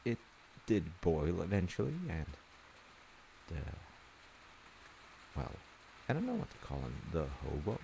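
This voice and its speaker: A man with a low, creaky voice tells a story at a slow, unhurried pace. He is conversational and wry, drifting into little asides as if telling a bedtime tale. Rain and hiss sit clearly behind him. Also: very animated, mellow, low-quality recording. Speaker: storyteller in an audio roleplay, playing a dad. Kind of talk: casual bedtime folktale storytelling. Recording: hissy recording with rain noise.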